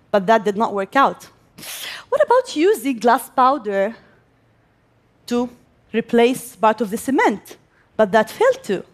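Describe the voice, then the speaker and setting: A young woman from Gaza giving a prepared speech to an audience, speaking as an English speaker with pauses between phrases. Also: fairly high pitch. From Gaza, Palestine. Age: young adult.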